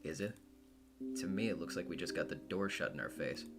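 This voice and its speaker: A sardonic young man from a visual novel, acted out by a solo performer. The voice drops low and muttered, blunt and mocking in delivery. Music is clearly audible underneath. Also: fairly quick. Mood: sceptical.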